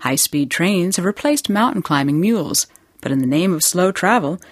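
A woman hosting a radio program in a studio, reading scripted narration in a measured presenting manner. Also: on the low side, animated, no room sound. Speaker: program host.

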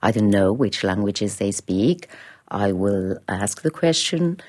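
Swiss woman interviewee, deep voice, slow and hesitant delivery, radio interview. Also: lively intonation, darkish tone. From Switzerland. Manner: hesitant, many fillers, thoughtful. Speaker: interviewee, head of a language department.

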